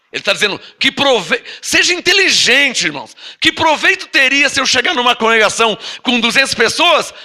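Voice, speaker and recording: A man with a Brazilian Portuguese accent preaching a Bible-teaching sermon. He reads scripture slowly and drawn out, then explains it emphatically and prods listeners with rhetorical questions. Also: extremely high pitch, bright, forceful tone. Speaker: apostle preaching to a congregation.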